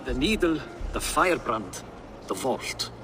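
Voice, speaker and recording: A man's voice: mid-range voice, big pitch swings, unhurried. An actor playing a burdened old Viking warrior and councilman, hearty but wary, in a video game cutscene. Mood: wary, urgent.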